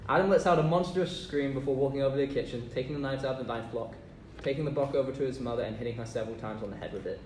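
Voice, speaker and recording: A man's voice: medium pitch, very fast, a little mellow. A man reading stage directions aloud from a script. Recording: echoey, distant room with noise.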